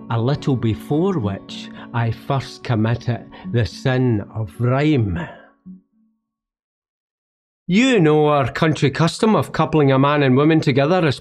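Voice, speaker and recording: A man's voice: mid-range voice, a little mellow. A man with a Scottish accent playing a poet who looks back wryly on his youth in a literary radio play monologue.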